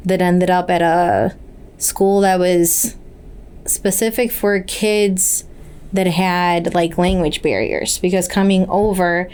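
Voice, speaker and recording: A woman's voice: lowish voice, steady tone, takes it slowly, darkish tone, clean tone. A woman from Puerto Rico whose first language is Spanish, earnestly telling her life story as a podcast guest. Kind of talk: casual interview.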